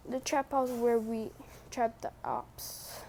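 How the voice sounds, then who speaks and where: A young woman giving casual, slangy, sweary and teasing commentary as a gaming vlogger, with unhurried delivery. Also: a little high, steady tone, vocal fry, darkish tone, slight background noise.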